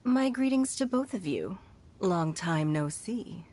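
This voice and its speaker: A woman's voice: fairly low pitch, animated, background noise. Poised, refined noblewoman. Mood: gracious. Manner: formal, refined, measured. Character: poised, courteous noblewoman leader, shrewd and composed. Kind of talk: video game character dialogue.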